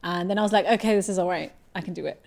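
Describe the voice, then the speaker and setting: Female, slightly low pitch, chatty and self-deprecating musician in a casual interview. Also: racing, creaky, a little mellow. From England. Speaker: folk musician, harmony singer and banjo player.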